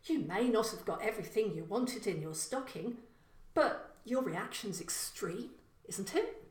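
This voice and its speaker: A woman's voice: mid-range voice, animated, a little slow, reverberant, lightly acted. Mild, weary middle-aged man as a woman reads him. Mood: puzzled. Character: mild, weary middle-aged man, good-natured former rough sleeper.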